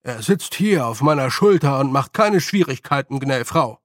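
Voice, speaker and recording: A man's voice: medium pitch, expressive, normal speed, a bit bright, no echo, clean studio sound, lightly acted. A man voicing a cheerful, stubborn old village man with a rustic, cocky yet deferential manner, in an audiobook. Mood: meek, agreeable. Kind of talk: audiobook character voice.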